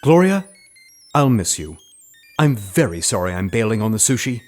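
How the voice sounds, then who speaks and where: A man's voice: lowish voice, very animated, normal speed, darkish tone, soft background music. A man playing a self-important actor turned undercover spy in a comedy audio drama, speaking in a grandiose, earnest way. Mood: warm, flourishing.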